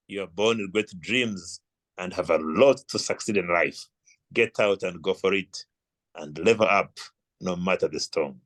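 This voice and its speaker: A man's voice: lowish voice, fairly bright tone, no echo. A Ugandan man hosting a club meeting in a courteous, formal, encouraging way. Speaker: meeting host, toastmaster.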